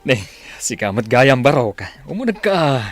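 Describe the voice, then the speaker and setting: Animated, hospitable father played by a man. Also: mid-range voice, a little slow, over music. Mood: calm, welcoming. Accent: northern Philippine. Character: hospitable, calm middle-aged father of grown daughters. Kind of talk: amateur comedy drama acting.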